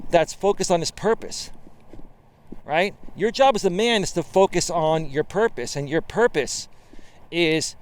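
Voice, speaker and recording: Male dating coach, late 40s, smooth voice sitting slightly high, emphatic walking-vlog delivery recorded outdoors. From Bay Area, California. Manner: emphatic, keeps tagging "right?". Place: outdoor trail.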